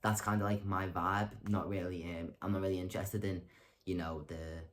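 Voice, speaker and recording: A young man vlogging in a casual monologue, rambling and hesitant, with lots of ums and self-corrections and deadpan self-mocking humor. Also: deep voice, moderate pace. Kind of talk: casual talking-to-camera monologue.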